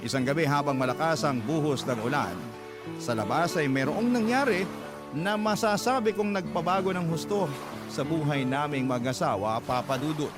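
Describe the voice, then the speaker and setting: A man's voice: a little high, normal speed, lightly acted. A man playing a devoted young husband, telling his life story in first-person radio drama narration. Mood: earnest, reflective. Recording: loud background music under the voice.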